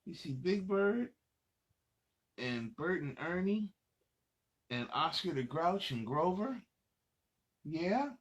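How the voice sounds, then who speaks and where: A man's voice: a little high, very animated, slow, pauses often. A man reading picture books aloud to children as a host, in a casual, conversational way with asides to the young listeners. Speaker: children's story reader and host.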